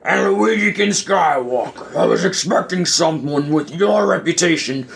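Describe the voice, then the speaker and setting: Guy doing an evil turtle general for an audio play, drags out his threats, pitched up, swoopy. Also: lively intonation, gravelly. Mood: sneering. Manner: drawn-out, menacing delivery. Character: sinister villainous male alien turtle general, commanding and furious.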